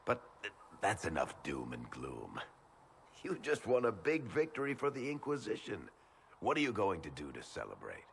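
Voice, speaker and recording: An actor playing a world-weary but teasing male adventurer in a video game, with a deep gravelly voice and a wry manner. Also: wildly swooping pitch, normal speed, slight background noise, heavily acted. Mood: lightly teasing. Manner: wry, sardonic, conversational. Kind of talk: video game character dialogue.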